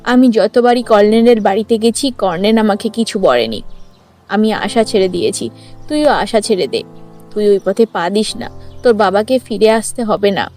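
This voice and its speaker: A woman's voice: medium pitch, animated, normal speed, a little mellow, natural voice. A woman voicing a protective, ageing village mother who admonishes her child emotionally in a cartoon story. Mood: worried, resigned. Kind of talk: dramatized cartoon story acting. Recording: music under the voice.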